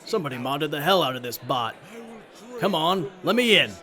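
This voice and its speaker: A man's voice: a little high, animated, moderate pace, a crowd in the background, natural voice. A man playing an edgy, irritable raider tech in an audio drama, talking coarsely and with exasperation. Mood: irritated. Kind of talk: post-apocalyptic audio drama acting.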